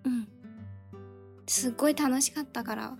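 A woman plays a soft-spoken teenage girl in an improvised radio drama. Her voice is fairly high. She gives short, hesitant answers over faint background music. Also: normal speed, creaky. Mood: gentle. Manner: quiet, brief, hesitant replies. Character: soft-spoken teenage girl, reserved swim club member.

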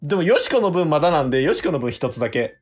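A man's voice: fairly high pitch, big pitch swings, very fast, breathy edge, soft background music. A man with a Kansai accent playing a bashful, easily flustered young man on a date in an amateur comedy radio drama. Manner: awkward, easily flustered, stammering.

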